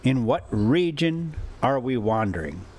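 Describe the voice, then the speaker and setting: A man reading a classic adventure story aloud as a storyteller, in deliberate, halting phrases with an informal touch. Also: medium pitch, big pitch swings, darkish tone. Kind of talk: audiobook-style reading with casual asides.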